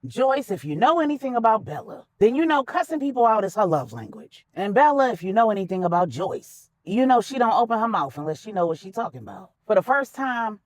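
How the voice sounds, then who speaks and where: A woman's voice: medium pitch, sing-song, brisk, few pauses, no room sound. A woman voicing an earnest older peacemaker in a story, firm and heartfelt, weary of fighting. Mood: firm, placating.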